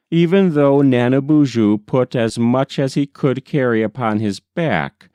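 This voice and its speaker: A man reading a folk tale as scripted audiobook narration, deliberate and expressive. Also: medium pitch, smooth, no room sound, clean studio sound. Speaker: audiobook reader.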